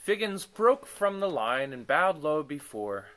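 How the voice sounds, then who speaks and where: A man reading a children's book aloud as an expressive, dramatic storyteller. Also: on the high side, measured pace. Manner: dramatic, animated. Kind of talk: expressive bedtime story narration.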